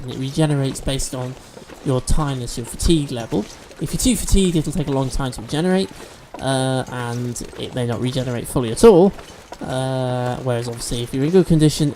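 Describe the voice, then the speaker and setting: A man's voice: medium pitch, warm tone, slight background noise. A man hosting a let's-play video, chatting and explaining game mechanics as he plays, a bit sniffly from allergies. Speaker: gaming video host.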